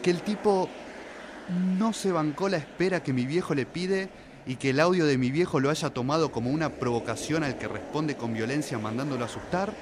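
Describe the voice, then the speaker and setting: A man's voice: fairly high pitch, a little fast, natural voice. A man with an Argentine accent playing a grieving, suspicious son in a radio drama, speaking insistently as he thinks aloud. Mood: suspicious, theorizing. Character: grieving, suspicious son obsessively investigating his father's death. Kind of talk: Spanish-language radio drama acting. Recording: clear street and traffic noise.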